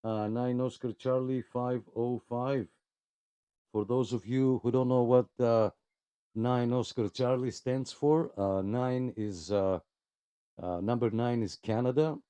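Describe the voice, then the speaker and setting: A man's voice: mid-range voice, steady tone, slow, mellow, clean tone, no room sound. A man casually hosting a live radio check-in, friendly and polite, with plenty of hesitations. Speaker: host of a CB radio club live check-in net.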